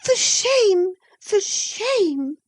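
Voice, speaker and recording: A woman voicing an infatuated, tearful and indignant young woman in high, wounded outbursts. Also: a little slow, warm tone.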